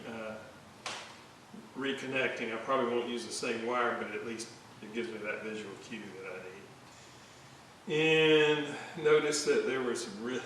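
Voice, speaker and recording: A man talks slowly and haltingly through a hands-on repair job. He sounds distant from the microphone in a very reverberant room, with a steady hiss under his voice. His commentary is casual, as if thinking aloud at the bench. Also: mid-range voice. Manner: hesitant, halting, thinking aloud while working. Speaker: electronics restorer narrating a repair video. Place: indoor room at a workbench. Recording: very echoey room, distant mic, clear hiss.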